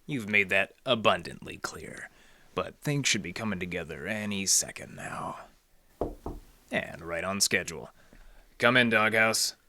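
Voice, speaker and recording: An actor playing a wry, deadpan library detective who narrates his case in hardboiled old radio style. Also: deep voice, measured pace, background noise. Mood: cool, clipped. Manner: deadpan, sardonic, slangy.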